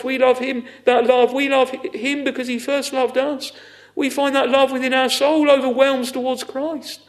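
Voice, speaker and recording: A man delivers a fervent evangelical sermon in a strikingly high-pitched voice with a creaky, gravelly edge. He speaks in short exclamatory phrases, often opened by a sighing interjection, and repeats them for emphasis. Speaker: preacher.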